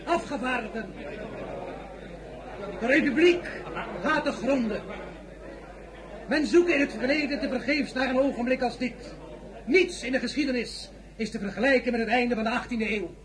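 An actor playing an embattled, defiant general who addresses parliament in grandiose, declamatory phrases in an audio drama. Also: male voice, extremely high pitch, rough recording. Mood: grandiose, resolute. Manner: declamatory, halting public oratory. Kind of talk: theatrical political speech in audio drama. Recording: loud crowd clamour behind.

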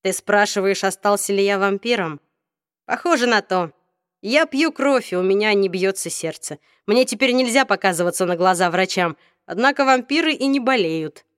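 Спокойный ироничный мальчик-вампир в озвучке чтицы, голос ниже обычного, сухой звук. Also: female voice, medium pitch, expressive, normal speed, clear, resonant tone, dry recording, clean studio sound, natural voice. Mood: wry. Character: teenage vampire boy, calm and wry. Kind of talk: audiobook reading.

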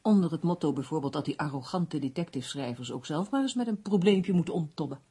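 An actress playing an earnest, worried crime novelist in a Dutch radio play, speaking seriously and insistently. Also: female voice, low voice, lively intonation, fast, mellow, slightly rough, full character voice.

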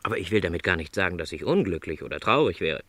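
Gentle middle-aged salesman, widely swooping intonation. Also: low voice, expressive, fast, no room sound. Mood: wistful, reflective. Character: no longer young, lonely travelling salesman, gentle, resigned. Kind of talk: German radio play dialogue.

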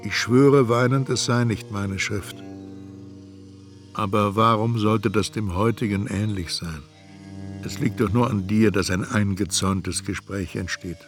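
An actor playing an anxious, brooding narrator who questions himself introspectively in a literary radio play monologue. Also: male voice, lowish voice, steady tone, a little slow, soft background music.

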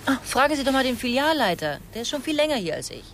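A woman's voice: sits high, sing-song, fast talker, fairly bright tone, a little hiss or hum. A woman playing an opinionated, chatty supermarket cashier in a radio drama, judging people freely. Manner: chatty, judging, repeats words.

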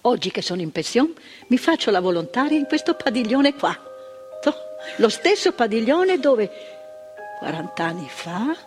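An older actress, playing a retired psychiatric worker in a stage monologue, talking emphatically with repetitions and asides to the listener. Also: female voice, a little high, sing-song, moderate pace, soft background music. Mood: fervent. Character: retired female psychiatric worker, proud, impassioned, reflective. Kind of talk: theatre monologue. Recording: stage recording with long reverb.

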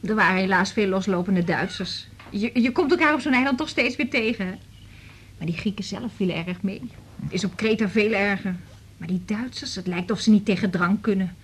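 An actress playing a chatty, easygoing doctor's assistant in a radio drama. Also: female voice, mid-range voice, a little fast, a little hiss or hum, lightly acted. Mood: chatty, pleased. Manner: chatty, gossipy.

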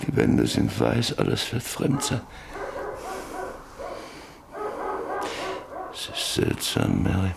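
An actor in a German radio drama playing an old, lonely rancher who broods bitterly over his life in halting, repetitive phrases. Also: fairly low pitch, huge pitch swings, gravelly, noisy background, full character voice. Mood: brooding, lonely. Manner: brooding, halting, repeating words.

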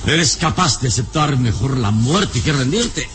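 An actor playing a fearless, self-assured adventurer hero in a radio serial, speaking with measured, firm authority. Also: male voice, medium pitch, animated, background noise, lightly acted. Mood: taunting, assured. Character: fearless, calm male adventurer hero, commanding and sure of himself. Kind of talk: Spanish-language radio serial acting. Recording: old radio serial, music underneath.

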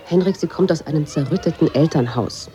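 An actress playing a self-assured, dismissive schoolteacher with a brusque, matter-of-fact way, in a radio drama. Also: female voice, low voice, brisk, mellow, a faint crowd, a little hiss or hum, lightly acted. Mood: confiding, matter-of-fact.

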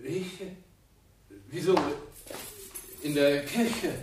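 An actor playing a hesitant, defensive curate in a German radio play. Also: male voice, on the high side, very slow, echoey room, far from the mic, background noise.

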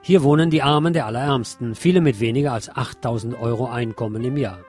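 A man presenting a scripted news magazine on the radio as narrator, with a husky voice. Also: mid-range voice, fast, music in the background.

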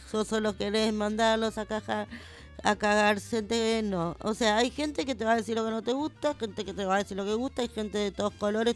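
A woman with an Argentine accent co-hosting a radio show, arguing her opinions assertively and readily contradicting others. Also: mid-range voice.

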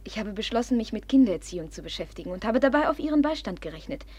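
A proud, jealous young noblewoman in an old radio drama, her voice fairly high and breathy. She swings between imperious outbursts and hushed confidences. The sound is close and dry, with no room around it. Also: big pitch swings, no room sound. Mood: earnest, fervent. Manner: imperious, quick to flare, then hushed. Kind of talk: 1950s radio play acting.

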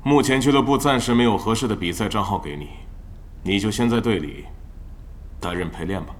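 A man's voice: fairly low pitch, a little fast, close to an everyday voice. A man playing a stern, businesslike club manager in an audio drama, speaking in a cold, formal, official way.

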